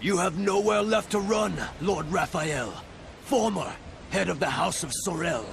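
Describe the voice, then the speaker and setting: Gravelly soldier captain, commanding, shouts orders, game cutscene. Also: male voice, high-pitched, measured pace, faint music behind. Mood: cold. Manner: barking, commanding. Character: harsh male soldier captain hunting a noble. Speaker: commander of pursuers.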